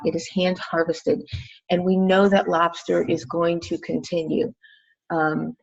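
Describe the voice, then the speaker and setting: Low-voiced woman executive on a muffled call. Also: darkish tone. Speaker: restaurant company chief executive. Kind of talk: business pitch on a recorded conference call. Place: recorded conference call. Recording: dull band-limited conference call audio.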